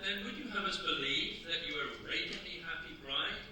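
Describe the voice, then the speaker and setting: A man's voice: a little high, sharp tone. A man playing a stern, relentless French official in a staged radio play, speaking formally and accusingly. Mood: stern, probing. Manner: formal, accusatory, deliberate phrasing. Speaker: amateur radio play actor. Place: live theatre stage. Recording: echoey stage, distant mic, faint noise.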